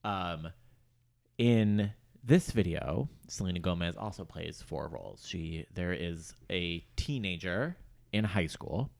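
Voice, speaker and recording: A man co-hosting a casual pop culture podcast, telling things in an animated way and acting out little asides. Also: low-pitched, slow pace, vocal fry. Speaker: podcast co-host.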